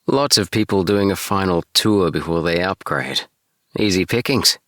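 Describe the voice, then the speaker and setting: A man reading an audiobook, voicing a gentle, mischievous forty-year-old man who talks mildly and a little hesitantly. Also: low-pitched, normal speed, no room sound, clean studio sound, pitched above the performer's own voice.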